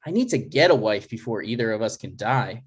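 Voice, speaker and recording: A man playing a neurotic, animated grown son. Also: fairly low pitch, fairly quick, husky. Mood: anxious, dry. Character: neurotic, touchy 36-year-old son. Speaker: writer and actor in a table read. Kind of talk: scripted dialogue, comedy table read.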